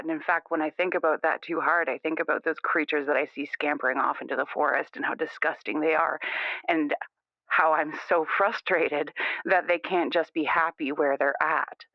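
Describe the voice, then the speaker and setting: A man's voice: a little high, vocal fry, no echo. A man playing a defensive, conformist young caller, insistent and rambling, in an improvised radio call-in drama. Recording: band-limited, muffled phone-in radio sound.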